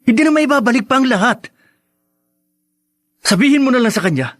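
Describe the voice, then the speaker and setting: A man's voice: very high-pitched, lively intonation, fairly quick, no echo. An actor playing a weak-willed, guilt-ridden young man in an evasive radio drama style. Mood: defensive. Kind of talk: Filipino radio drama acting. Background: music in the background.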